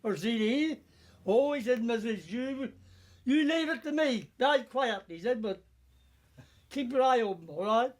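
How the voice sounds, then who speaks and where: A man's voice: very high-pitched, expressive, a little slow. An older man with a broad West Country accent, rambling through village anecdotes in a played clip. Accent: West Country English. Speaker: interviewed villager in archive clip. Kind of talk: reminiscing storytelling.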